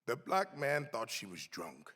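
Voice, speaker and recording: Slow, dramatic man in a reverberant hall. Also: mid-range voice, huge pitch swings, a bit bright, husky. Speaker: actor giving a dramatic reading. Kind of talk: theatrical dramatic reading of a historical text. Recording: echoey hall with long reverb.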